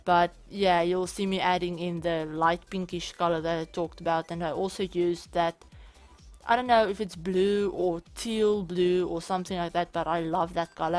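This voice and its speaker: A woman, a bit hoarse from being sick, talking casually and rambling over footage of a game build, often correcting herself mid-sentence. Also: fairly low pitch. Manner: rambling, self-correcting, chatty. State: hoarse from illness. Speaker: channel host. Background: soft background music.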